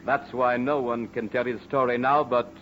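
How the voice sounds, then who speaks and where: A man's voice: mid-range voice, little pitch movement, moderate pace, slight background noise. A man narrating and announcing a 1940s radio horror drama in a formal, portentous, dramatic style. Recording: muffled old radio recording, faint music.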